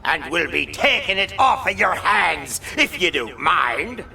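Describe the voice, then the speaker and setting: A man playing a threatening, blustering pirate villain with a gravelly, sneering voice in a scripted audio adventure. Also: sits high, sing-song, a little slow, sharp tone, soft background music, full character voice. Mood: menacing.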